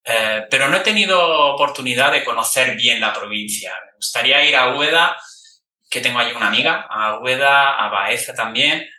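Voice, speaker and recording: Man, podcast host, very bright sharp voice, echoey room, mic set back from him. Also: mid-range voice, a little fast, recorded from a distance. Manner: relaxed, thoughtful, frequent hesitations. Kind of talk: casual conversational interview.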